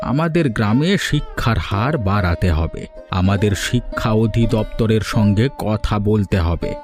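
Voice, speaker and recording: A man voicing a considerate, paternal village landowner in a cartoon, speaking in a measured, advising way. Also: lowish voice, natural voice. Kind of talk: scripted cartoon dialogue. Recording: music behind the voice.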